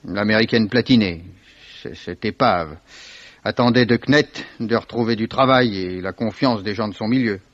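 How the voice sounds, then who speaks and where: An actor playing a worldly, evasive Swiss salesman in a 1950s French radio mystery, sardonic, unhurried and given to repeating himself. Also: on the low side, no room sound, close to an everyday voice. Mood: dismissive, amused. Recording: dull, dry vintage radio recording.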